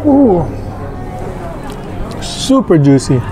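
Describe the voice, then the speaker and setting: A man in his thirties reviewing restaurant food in a casual, halting way, full of fillers, on an outdoor patio with a camera on the table. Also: high voice, music in the background, crowd noise. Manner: slow, relaxed, halting with many fillers. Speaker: food vlogger. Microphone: camera on table across from him.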